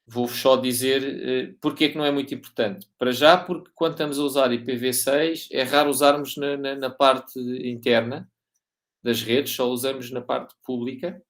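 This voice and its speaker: A man's voice: mid-range voice, fairly even intonation, moderate pace. A man with a European Portuguese accent giving an informal technical lecture, often repeating and rephrasing himself and checking that listeners follow. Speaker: university lecturer.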